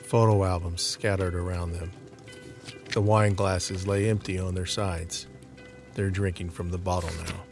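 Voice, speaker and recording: A man's voice: deep voice, little pitch movement, unhurried, soft background music. A man narrating an audio drama from a script, describing each scene in the present tense.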